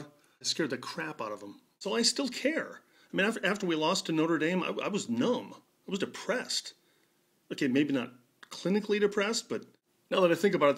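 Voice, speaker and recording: A man's voice: medium pitch, a bit bright. A man in his fifties with an American accent gives a conversational personal monologue in a wry, emphatic way. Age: 50s. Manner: wry, emphatic, rhetorical questions. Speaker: video blogger.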